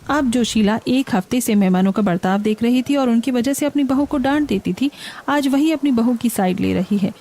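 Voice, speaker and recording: A woman's voice: fairly high pitch, normal speed, dark tone. A woman narrating a scripted family story.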